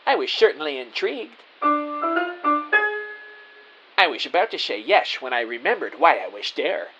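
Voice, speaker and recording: A man's voice: mid-range voice, big pitch swings, a little fast, lo-fi sound, over loud music, natural voice. A man playing an earnest, naive detective who narrates his own story in a scripted audio drama, with a theatrical delivery. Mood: hesitant, curious.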